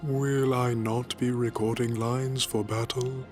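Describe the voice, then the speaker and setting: A man acts a formal, pompous cosmic being for a video game. His medium-pitched voice moves slowly and grandly, with soft music behind. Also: little pitch movement, lightly acted. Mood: solemn, eager. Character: solemn cosmic watcher eager to be action hero.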